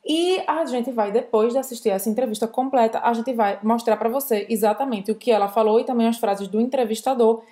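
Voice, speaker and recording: Brazilian woman co-host, a little high-pitched, lively explainer, quick bursts. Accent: Brazilian Portuguese. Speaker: French teacher, co-host. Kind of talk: casual language lesson.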